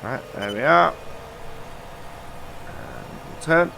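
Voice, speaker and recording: A man's voice: medium pitch, huge pitch swings, takes it slowly, gravelly, bright, forceful tone, slight background noise, rough recording. Chatty man, nasal with a cold. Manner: chatty, rambling, many uh fillers. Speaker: gaming channel host. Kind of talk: casual let's-play commentary.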